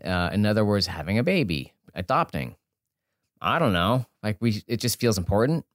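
A man's voice: lowish voice, big pitch swings, moderate pace, dry recording, studio quality. A man being interviewed as a guest, an entrepreneur and author, talking informally and thinking aloud as he breaks off and rephrases. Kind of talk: casual conversational interview.

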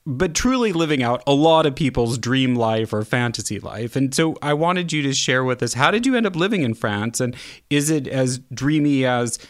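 A man's voice: mid-range voice, expressive, moderate pace. An American man hosting a podcast, asking friendly, rambling questions and chuckling along with his guest. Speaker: podcast host. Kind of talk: interview questions, casual chat.

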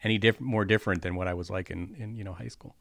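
Fast-talking low-voiced man, dry sound. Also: fairly even intonation, vocal fry, a bit bright, no room sound. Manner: fast, filler-heavy, self-deprecating. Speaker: podcast host, magazine staffer. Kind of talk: casual podcast conversation.

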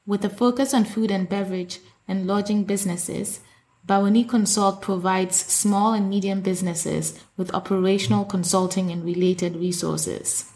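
A woman hosting a food podcast, reading a scripted intro smoothly and then chatting with her guest. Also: mid-range voice. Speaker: podcast host. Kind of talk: scripted intro and casual interview.

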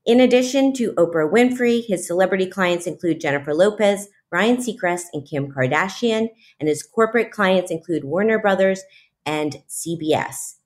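A woman's voice: mid-range voice, normal speed, a little mellow. A woman hosting an interview podcast, reading introductions and talking with her guest. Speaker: podcast host.

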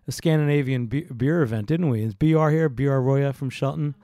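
A man's voice: mid-range voice, fairly quick, mellow. A male radio host with a mid-range voice. He rambles in a playful, easygoing way and keeps restarting his sentences. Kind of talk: casual talk radio.

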